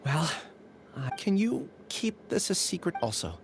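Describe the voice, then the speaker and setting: A man's voice: a little high, sing-song, measured pace, background noise. An actor playing a meek, remorseful young male follower in a game, speaking in a hesitant, apologetic way. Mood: wistful resolve. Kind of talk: scripted game voice acting.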